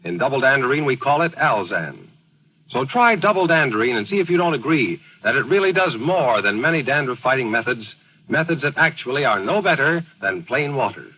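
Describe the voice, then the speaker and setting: A man's voice: medium pitch, big pitch swings, fairly quick, sharp tone, breathy edge, poor audio. A man reading a 1940s radio advertisement as an emphatic commercial announcer. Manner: emphatic, selling. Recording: old radio broadcast recording.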